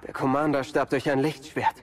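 An actor playing an earnest, defiant young Jedi on the run in a sci-fi audio drama. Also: male voice, medium pitch, level tone, moderate pace, a little hiss or hum, natural voice. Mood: watchful.

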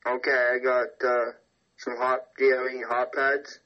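A man voicing an obliging, slow-witted hillbilly man who hesitates and stumbles over words. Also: medium pitch, level tone, lo-fi sound, natural voice.

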